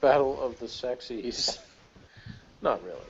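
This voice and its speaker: A man's voice: medium pitch, animated, slow pace, slight background noise. A man chatting casually on a review panel over a video call, with an amused, digressive, trivia-minded way of talking. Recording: dull lo-fi video call audio.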